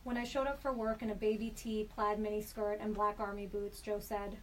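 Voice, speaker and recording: A woman in a studio reading a personal story aloud in a measured, deliberate way. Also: mid-range voice, level tone. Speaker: storyteller guest. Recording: slightly distant mic with faint background hiss.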